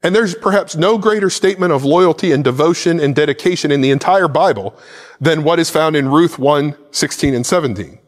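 A man's voice: a little high, fairly quick, long reverb tail. A man in his forties preaching a sermon to camera from a church stage, gesturing with a Bible in hand. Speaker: pastor. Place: church stage set with plants and a cross.

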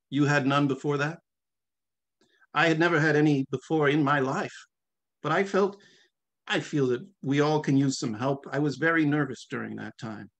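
A middle-aged man reads fiction aloud, voicing formal questions and answers. His voice sits a little high for a man and his delivery is measured. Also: fairly bright tone. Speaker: author reading from a novel. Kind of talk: dramatic reading of a question-and-answer hearing. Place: small room at home, video call.

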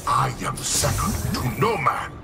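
A hulking, proud game villain. He delivers formal threats slowly in a gravelly, swooping voice, with loud music playing behind him. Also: medium pitch, big pitch swings, exaggerated voice. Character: huge masked male brute villain, proud and menacing. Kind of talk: video game character acting.

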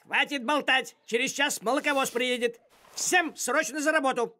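An actor voicing a bossy, fussy talking farm cat in an animated cartoon, with a commanding, nagging, excitable manner. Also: high voice, moderate pace, natural voice. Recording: music behind the voice.

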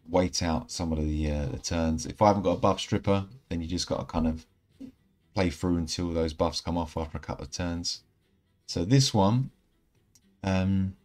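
A man in his thirties giving casual, rambling commentary over mobile game footage, a little croaky from a night out. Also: deep voice. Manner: relaxed, rambling, self-correcting. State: slightly croaky from singing. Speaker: gaming channel host. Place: indoor room at home.

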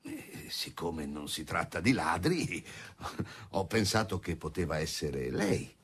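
An actor playing a shrewd, authoritative police commissioner in a radio drama, deliberate and courteous. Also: male voice, sits low, sing-song, a bit bright, slightly rough, no echo, full character voice. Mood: polite, probing. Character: shrewd, commanding male police commissioner on a case. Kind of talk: Italian radio drama acting.

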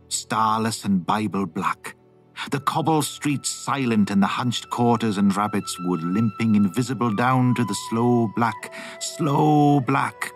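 A man performs a poetic, dramatic recitation. His voice is on the low side and very slow, over faint music. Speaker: voice-over artist.

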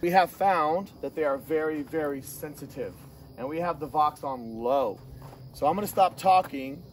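A man hosting a casual hands-on gear review, talking in a halting way with self-corrections. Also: a little high. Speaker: host of a gear review channel. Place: outdoor shooting range. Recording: distant mic, outdoor noise, insect chirps.

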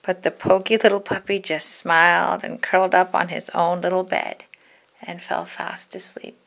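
A woman narrating a children's storybook in an expressive, deliberate storytelling manner. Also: lowish voice, creaky, low-quality recording. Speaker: storybook read-aloud narrator.

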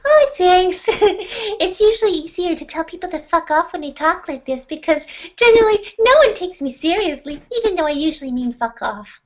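A woman's voice: extremely high pitch, expressive, normal speed, pitched above the performer's own voice. A woman putting on a sweet, overly polite young woman's voice for a comedy bit. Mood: sugary sweet. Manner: sugary, polite, girlish put-on voice. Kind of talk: comedic character interview. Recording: dull lo-fi sound.

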